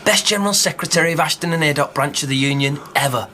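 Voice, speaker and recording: An actor playing a friendly, respectful young pit worker in a radio drama. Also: male voice, on the high side, fast talker, pushed and bright, slight background noise. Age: young adult. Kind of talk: broadcast radio drama acting.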